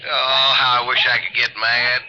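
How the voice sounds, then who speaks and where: An actor playing a folksy, resentful small-town police chief in a 1950s radio drama. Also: male voice, mid-range voice, monotone, moderate pace, lightly acted. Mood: wry, resentful. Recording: muffled, noisy 1950s radio broadcast.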